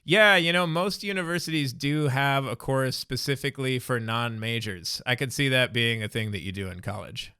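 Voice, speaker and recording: An upbeat male podcast host with a bright, crisp voice. He affirms his guest and sums up answers in friendly interview style. The sound is dry and close, with faint music behind. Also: mid-range voice, moderate pace, few pauses, no echo.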